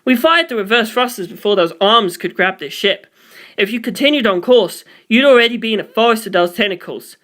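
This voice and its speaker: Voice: medium pitch, a little fast, fairly bright tone, close to an everyday voice. A reader voicing a tense male mission control officer in a space story, urgent and formal. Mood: alarmed.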